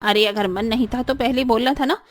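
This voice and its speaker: A woman's voice: on the high side, steady tone, brisk, dry recording, close to an everyday voice. Woman voicing a haughty scolding mother-in-law, cartoon drama. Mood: indignant, scolding. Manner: haranguing, indignant, rhetorical questions. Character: arrogant scolding older mother-in-law, sharp-tempered, status-obsessed. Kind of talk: Hindi cartoon story voice acting.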